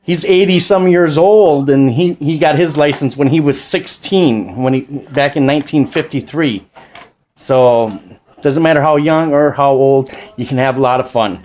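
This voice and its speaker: A man's voice: fairly high pitch, moderate pace, darkish tone. A man of about forty with an American accent, explaining things in an informal, rambling way at home. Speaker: amateur radio operator and father. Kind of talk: casual explanatory chat to camera. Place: indoors at a home radio station.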